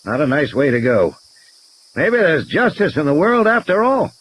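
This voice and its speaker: A man acts out a blunt, sardonic male game warden for an audio story. The voice is lifted above a natural talking pitch and swings widely, unsentimental in manner, with faint noise and nature sounds behind it. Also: very animated, moderate pace, fairly bright tone, rough recording. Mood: grim, matter-of-fact. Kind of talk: audiobook character acting.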